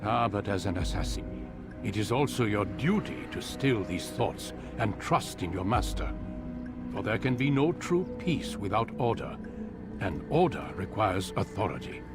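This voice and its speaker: A deep, lecturing assassin master. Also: male voice, sing-song. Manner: measured, lecturing, riddling. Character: commanding, evasive old master of an assassin order. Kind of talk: video game cutscene dialogue. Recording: music behind the voice.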